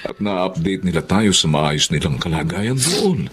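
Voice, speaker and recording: A man's voice: deep voice, animated, unhurried. A man playing an ambitious, earnest middle-aged father in a Filipino radio drama. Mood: reassuring. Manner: earnest, persuasive. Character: determined, ambitious Filipino father working abroad.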